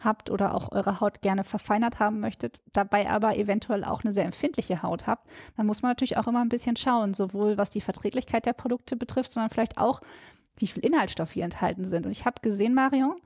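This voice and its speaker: A woman's voice: medium pitch, quick pace, darkish tone, no echo, clean studio sound. A woman hosting a skincare podcast, chatty and upbeat, leading the conversation with questions. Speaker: podcast host.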